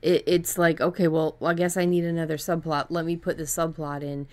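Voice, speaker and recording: Woman podcast host w a low voice, casually explaining stuff and making up examples. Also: little pitch movement, a little fast, darkish tone. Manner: explanatory, illustrates points with made-up examples. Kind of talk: casual advice discussion about fiction writing.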